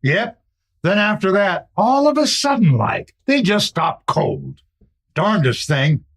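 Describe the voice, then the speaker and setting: Man acting a high-voiced, animated western townsman. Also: takes it slowly, creaky, exaggerated voice. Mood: baffled. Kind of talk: western radio play acting.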